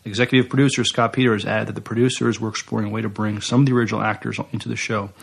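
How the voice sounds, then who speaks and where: An American man co-hosting a podcast, giving news in a casual, somewhat halting way. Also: on the low side, flat delivery, creaky, husky. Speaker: podcast co-host.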